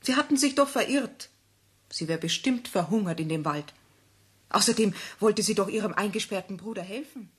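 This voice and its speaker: A mother tells a fairy tale in a gentle, instructive way. Her voice is slightly breathy and sits at a middle pitch. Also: moderate pace. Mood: storytelling. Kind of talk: 1960s radio play acting.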